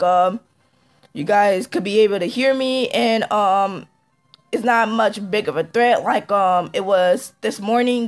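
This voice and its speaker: A man with a hoarse, fading voice giving casual let's-play commentary, halting with ums and throat-clearing, recorded on a phone. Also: sits high, no echo. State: hoarse, losing his voice.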